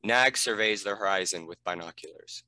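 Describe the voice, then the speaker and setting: A man's voice: sits low, moderate pace, pushed and bright, dry recording, rough recording. A man giving scripted narration, reading out the stage directions of a screenplay.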